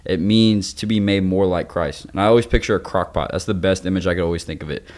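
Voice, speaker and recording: A man's voice: deep voice, quick pace, a little mellow. A man in his twenties talking casually about faith as a podcast speaker, explaining in an informal, youthful way with everyday examples. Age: 20s. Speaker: young adult ministry podcast speaker. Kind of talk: casual faith discussion with teaching.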